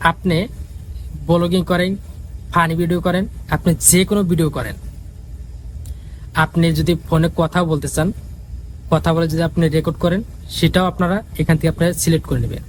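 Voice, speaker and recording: Man, high-pitched, rapid, instructional, repetitive, casual tutorial delivery. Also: a little hiss or hum, poor audio. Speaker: tutorial host. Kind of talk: casual step-by-step app tutorial.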